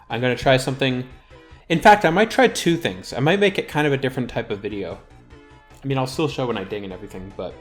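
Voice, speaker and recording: A man giving casual, rambling gameplay commentary as a video host, thinking aloud as he plays. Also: medium pitch.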